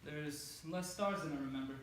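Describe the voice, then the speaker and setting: Devoted, anxious soulmate played by a man, halting delivery, staged reading, heavy room echo and faint noise. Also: fairly high pitch, close to an everyday voice. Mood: wry, musing. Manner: halting, stammering, repeats words. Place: indoor stage, recorded from a distance. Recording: echoey, noisy room from far off.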